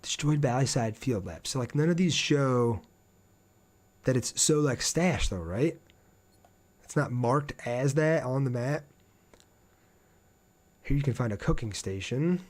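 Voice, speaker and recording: A man's voice: medium pitch, expressive, unhurried, pauses often, darkish tone. A man chatting casually and informally on a game livestream, reading out viewer comments and joking at his own expense.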